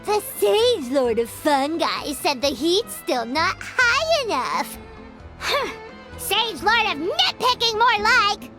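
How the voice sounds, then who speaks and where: A tiny, bubbly female companion squeals with excitement in a very high, wildly bouncing voice. Game music plays clearly beneath her. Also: slow, exaggerated voice. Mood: excited. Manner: bubbly, loud, talks of herself by name. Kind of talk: video game voice acting. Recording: game dialogue over music.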